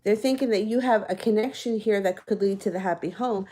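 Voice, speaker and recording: A woman's voice: medium pitch, brisk, background noise. A woman giving live-stream tarot readings in a casual, chatty, reassuring way, a little out of breath and slightly nasal from congestion. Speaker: tarot card reader.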